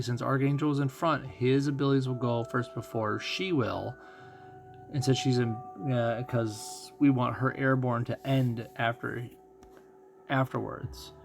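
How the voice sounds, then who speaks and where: Male game streamer, mid-range voice with vocal fry, casual think-aloud commentary over background music. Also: medium pitch. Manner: musing, thinking out loud. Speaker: gaming streamer.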